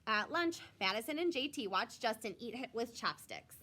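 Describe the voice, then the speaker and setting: A woman reads a picture book to children in an expressive, teacherly way. Her voice is fairly high and bright. Her intonation is very lively as she phrases each part of the story clearly. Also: moderate pace.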